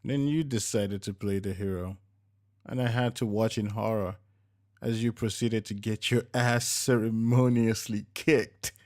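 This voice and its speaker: A man's voice: lowish voice, fairly even intonation, measured pace, darkish tone, lightly acted. A man voicing a playful, affectionate middle-aged wife who teases, in a narrated story.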